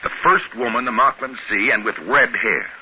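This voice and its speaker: A man's voice: mid-range voice, normal speed, natural voice. An actor playing a shrewd, suspicious trading-post man speaking in a conspiratorial way in a 1950s radio drama. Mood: scheming, uneasy. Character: shrewd, suspicious male trading-post partner hatching a plan. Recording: hissy, muffled 1950s radio recording.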